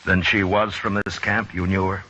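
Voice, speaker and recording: Weary, understated frontier lawman, low and measured, vintage radio drama on a dull recording. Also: level tone, bright, forceful tone, a little hiss or hum. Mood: somber, earnest. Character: steady, weary frontier lawman narrating his western tale. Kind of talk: 1950s radio drama acting.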